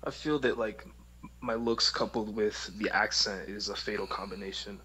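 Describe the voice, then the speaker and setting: A man speaking as a podcast guest, reflective and measured with some hesitation. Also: on the low side, fairly even intonation, pushed and bright, breathy edge, low-quality recording. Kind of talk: casual podcast chat.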